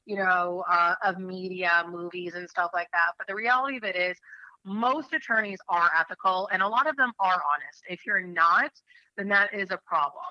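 A woman's voice: fairly low pitch, normal speed, sharp tone, dry recording, highs cut off. A woman in her thirties from New Hampshire, an attorney telling her faith story in an interview, earnest and rambling with many fillers.

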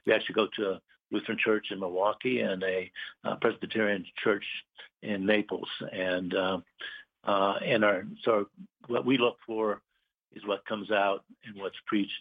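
Low-voiced man on a phone line. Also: flat delivery, vocal fry. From Puerto Rico. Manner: hesitant, many pauses and fillers. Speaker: guest, business owner. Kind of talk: casual interview chat.

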